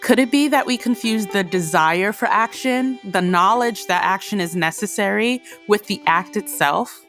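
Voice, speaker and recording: A woman's voice: mid-range voice, normal speed, a bit bright. A woman reading scripted linking narration as the host of an audio discussion. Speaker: podcast host. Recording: music bed under the narration.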